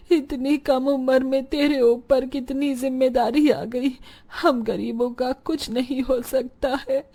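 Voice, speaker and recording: Woman as a poor village woman, high soft-toned voice, tender, plaintive, caring, cartoon storytelling. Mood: sorrowful. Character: poor, caring mother or dutiful daughter, sorrowful. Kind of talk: Hindi animated moral story acting.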